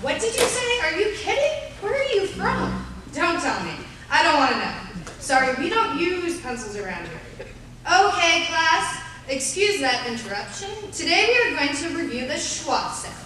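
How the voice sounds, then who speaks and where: A woman's voice: extremely high pitch, sing-song, normal speed, a crowd in the background. An actress playing a haughty, impatient woman schoolteacher in a live radio play, dismissive and scolding. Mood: indignant. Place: live on a theater stage. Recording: very echoey live hall, distant, faint noise.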